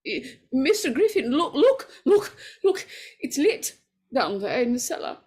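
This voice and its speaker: An actress playing a frightened, superstitious older woman in 1940s radio horror style, breathy and stammering. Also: high-pitched, big pitch swings, darkish tone. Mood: nervous, hesitant. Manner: halting, stammering.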